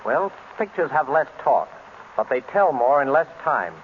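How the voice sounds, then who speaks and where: A man's voice: medium pitch, very animated, normal speed. American guy, scripted guest spot on old radio, thin phone-like sound, bit of noise. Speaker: screenwriter guest. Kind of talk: scripted radio interview with sales pitch. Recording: tinny, noisy 1930s radio broadcast.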